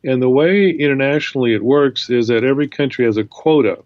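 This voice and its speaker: A man answering as an interview guest, explaining his points in a measured way. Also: mid-range voice, no room sound, dull audio. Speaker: guest professor.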